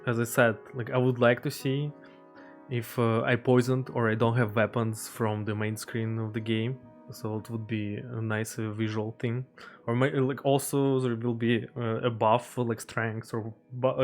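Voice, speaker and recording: A man whose first language is not English talks casually through his indie game, stopping and restarting often and filling the gaps with ums and uhs. His pitch sits in an ordinary middle range, and faint music plays behind him. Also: little pitch movement. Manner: halting, many fillers and restarts. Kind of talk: casual devlog voice-over over gameplay.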